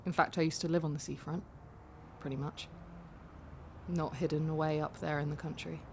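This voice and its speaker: An actress playing a candid, vulnerable young woman taxi passenger in an audio drama, confiding in a halting, self-deprecating way. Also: deep voice, little pitch movement, darkish tone, a little hiss or hum. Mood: nervous, confiding.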